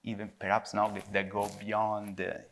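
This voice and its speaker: Halting Italian-accented man, lowish voice. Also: completely flat delivery, a little hiss or hum. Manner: thoughtful, halting, frequent tag "no?". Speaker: filmmaker guest. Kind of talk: panel discussion answer.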